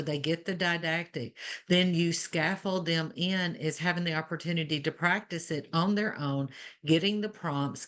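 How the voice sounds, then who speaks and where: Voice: mid-range voice, fairly bright tone. A speaker with a Southern Alabama accent talks as a simulation nurse educator on a webinar panel. The delivery is earnest and loosely structured, sprinkled with "you know" asides, and it sounds like a video call.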